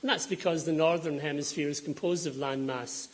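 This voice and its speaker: A man's voice: on the high side, fairly even intonation. Lecturing man with a Scottish-Donegal accent. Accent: Scottish with Donegal roots. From Scotland. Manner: measured and explanatory, with frequent ums and self-corrections. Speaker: climate scientist giving a lecture. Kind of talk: public lecture with slides. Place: lecture hall before an audience.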